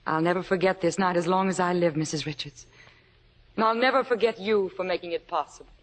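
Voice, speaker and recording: A woman's voice: fairly low pitch, a little fast, lightly acted. A woman playing a demure, deferential young admirer in 1950s radio acting, polite, earnest and hesitant. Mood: demure, grateful.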